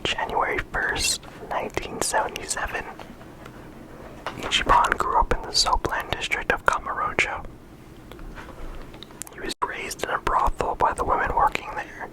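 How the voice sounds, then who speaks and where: An ASMR creator giving a whispered, unhurried character discussion, often pausing and restarting mid-sentence. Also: poor audio. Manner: unvoiced whisper throughout.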